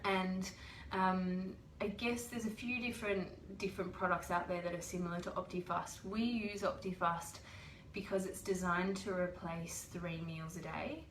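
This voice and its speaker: A woman with a cold hosts a relaxed livestream, talking things through in a chatty, patient way full of ums. Her voice sits a little low. The room around her is echoey, with a faint hum of background noise. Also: normal speed. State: has a cold. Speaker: host. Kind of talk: casual livestream explainer. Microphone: webcam or phone livestream.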